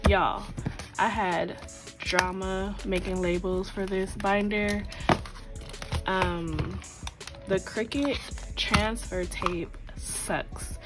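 A woman talks slowly and unhurriedly, her sore throat keeping her voice low. She counts money aloud in a chatty, casual way. Clear music plays behind her. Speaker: budgeting channel host. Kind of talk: casual voice-over while counting cash. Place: tabletop at home. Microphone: overhead camera, hands only.

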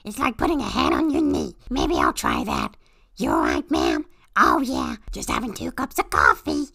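Raspy, croaking cartoon toad voice, wildly swooping. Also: female voice, rumbling low, wildly swooping pitch, normal speed, bright, forceful tone. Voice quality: raspy, straining croaky squawk. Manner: exaggerated, cartoonish. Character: Toad, small squawky excitable cartoon mushroom creature. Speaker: performer reading a film script aloud. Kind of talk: character reading in a put-on voice, playing every part.

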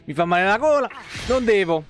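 A man's voice: high-pitched, lively intonation, brisk. A man giving casual, exclamatory, sweary live commentary on a game. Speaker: live streamer. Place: indoor room, webcam stream. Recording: game sound behind the voice. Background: game effects and ambience.

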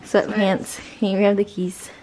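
A woman's voice: mid-range voice, level tone, a little slow, a loud crowd, poor audio. A young woman, a mother, chatting casually for a family vlog into a handheld camera. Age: young adult. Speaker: vlogger and mother. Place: at home, in a car and in a busy restaurant. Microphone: handheld camera at arm's length.

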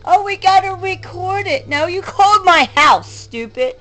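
A woman's voice: extremely high pitch, lively intonation, measured pace, fairly bright tone. A middle-aged woman, talking casually and bluntly in a rambling, aggrieved way to camera at home. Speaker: home vlogger. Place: rooms of a home, indoors.

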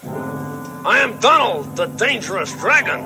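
A man's voice: sits high, sing-song, slow pace, music in the background, full character voice, rough recording. A man voicing a nasty, blustering dragon in a children's puppet storybook skit, with a theatrical villainous delivery. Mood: boastful menacing. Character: dangerous, nasty male dragon, blustering and sneaky.

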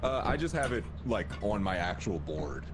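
A man's voice: lowish voice, big pitch swings, normal speed. A man chatting with friends while playing a game, rambling with lots of fillers. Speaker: co-op player. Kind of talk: casual gaming chat about mic settings. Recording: loud noisy game stream audio.

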